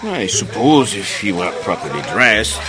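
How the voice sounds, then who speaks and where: A man's voice: fairly low pitch, sing-song, a little slow, rough recording. A man playing a proud, exasperated hotel chef with a French accent in a radio drama. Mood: defensive. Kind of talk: detective radio drama acting.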